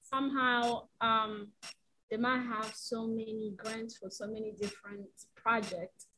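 Female commissioner, loud and higher-pitched, casual, faint background noise. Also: flat delivery, a little slow, low-quality recording. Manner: casual, lots of "like".

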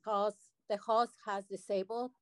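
A woman's voice: medium pitch, little pitch movement, unhurried, dry recording. Mexican woman telling her story, dry sound. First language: Spanish. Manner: earnest, simple phrasing with frequent fillers. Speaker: panel guest. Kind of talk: personal migration story on a video call. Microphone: video call.

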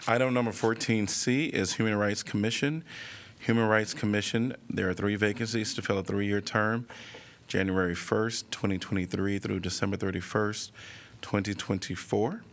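A man chairing a city council meeting, reading agenda items and calling votes in a measured, procedural way. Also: on the low side, steady tone.

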